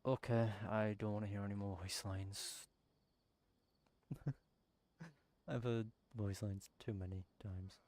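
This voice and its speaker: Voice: low-pitched, steady tone, slow, long pauses, darkish tone. A video game streamer giving casual, rambling commentary while thinking aloud during play. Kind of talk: casual gameplay livestream commentary.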